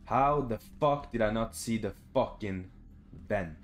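A young man with a fairly low voice hosts a gaming video. He talks informally and thinks aloud as he plays, with some swearing. Faint music runs behind him. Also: unhurried. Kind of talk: casual let's play commentary. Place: small room indoors at home.

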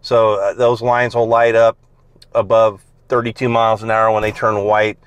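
Car salesman guy walking thru a car, lots of uh's, smooth lowish voice. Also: little pitch movement, moderate pace, no echo. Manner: explains features step by step with frequent fillers. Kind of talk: casual car walkaround. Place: in and around a car outdoors on a dealer lot.

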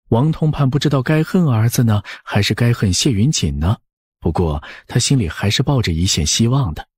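Husky, very expressive male audiobook narrator. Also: fairly low pitch, dry recording, clean studio sound. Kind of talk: dramatized scripted narration.

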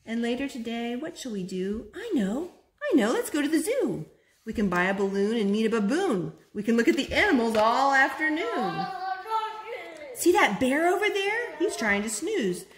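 A woman in her thirties reading a picture book aloud to small children in an expressive, singsong way at home. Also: a little high, normal speed, a crowd in the background. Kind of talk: storytime read-aloud. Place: living room at home, on a sofa. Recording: roomy, noisy home video recording.

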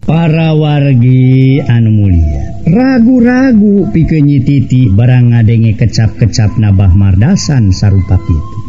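A man's voice: medium pitch, very animated, a little slow, hardly any pauses, dull audio, music in the background. A man with a Sundanese accent narrating a folk tale and addressing his listeners as a storyteller.

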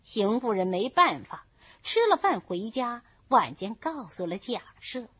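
A woman reading a classical novel aloud as an audiobook, with measured, expressive storytelling. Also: a little high, breathy edge, no echo. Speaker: audiobook reader. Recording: dull lo-fi recording.

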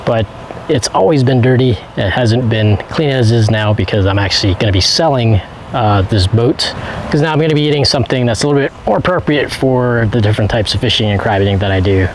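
A man in his thirties, a channel host, casually walking viewers around a boat outdoors, talking into a handheld camera with relaxed fillers. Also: medium pitch, brisk, a little hiss or hum, low-quality recording. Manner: relaxed, talks to viewers with fillers while pointing things out. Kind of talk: casual walkthrough vlog. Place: outdoors in a driveway beside a boat on a trailer. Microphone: handheld camera carried around, earlier on a tripod.

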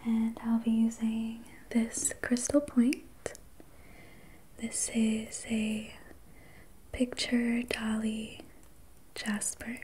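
A woman whispering softly and breathily as an ASMR host, guiding relaxation in a soothing, gentle, unhurried manner. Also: a little high, little pitch movement, a little hiss or hum, low-quality recording. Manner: soothing, slow, gentle personal attention. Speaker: ASMR host and reiki practitioner.

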